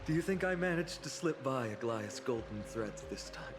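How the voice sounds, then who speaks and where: A man's voice: mid-range voice, animated, moderate pace, close to an everyday voice. A man playing an earnest, self-doubting young fantasy hero in a video game, reflective and self-deprecating in his talk. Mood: troubled, confiding. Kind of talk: video game voice acting, dramatic dialogue. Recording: long reverb, music behind.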